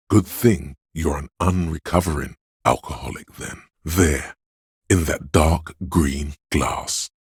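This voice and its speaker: A man voicing a video game's inner voice of addiction, a seductive, hedonistic tempter who coaxes and drawls in a deep, gravelly, husky voice. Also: dry recording, clean studio sound, heavily acted. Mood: seductive, hushed. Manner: coaxing, insinuating, drawling. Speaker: video game voice actor. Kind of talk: theatrical second-person game narration.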